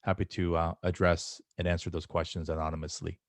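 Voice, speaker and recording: Very deep-voiced American man, late thirties. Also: little pitch movement, a little fast, dry recording. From Korea, moved to the United States at eight. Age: late 30s. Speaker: guest speaker. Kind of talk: answering audience questions in an online talk.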